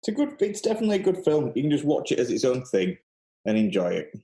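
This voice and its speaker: Animated, mellow speaker in a roomy space. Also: mid-range voice, quick pace. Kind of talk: casual film chat.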